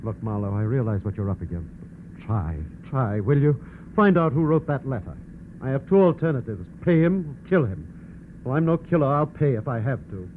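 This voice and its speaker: A man's voice: medium pitch, lively intonation, moderate pace, lightly acted. Mid-range male voice, American, acted anxious protective husband, emphatic, 1940s radio drama, muffled with faint noise. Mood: anxious, pleading. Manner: urgent, emphatic, earnest. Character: anxious wealthy husband being blackmailed, earnest, protective. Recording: muffled, faintly noisy old radio recording.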